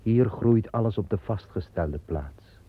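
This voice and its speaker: An actor playing the angel who guards the garden of Eden as a gardener, speaking calmly and tersely in a radio drama. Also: male voice, lowish voice, measured pace, breathy edge. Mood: stern. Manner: calm, terse, unbending. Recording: tinny narrow-band old radio recording.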